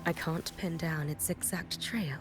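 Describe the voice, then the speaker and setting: A woman's voice: sits low, fairly even intonation, measured pace, faint music behind. An actress voicing a cool, dutiful young female agent in a video game, matter-of-fact and restrained.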